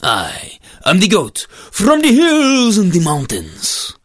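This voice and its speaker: A storyteller voicing a huge, bullying mountain goat who challenges people and makes drawn-out, boastful threats in a storybook tale. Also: mid-range voice, wildly swooping pitch, a bit bright, dry recording, studio quality, voice pushed up, full character voice. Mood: menacing boast. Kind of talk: storybook character voice.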